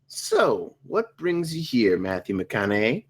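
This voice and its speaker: A man voices a muddled, earnest slacker in a comedy table read. The voice is husky and middling in pitch, swinging wildly as he rambles. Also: wildly swooping pitch, takes it slowly, full character voice. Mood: casual.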